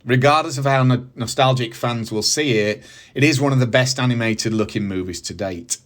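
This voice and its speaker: A man's voice: mid-range voice, moderate pace. A middle-aged man with a British accent, presenting a scripted review with casual asides and emphatic, enthusiastic phrasing. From United Kingdom. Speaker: host of a movie review channel.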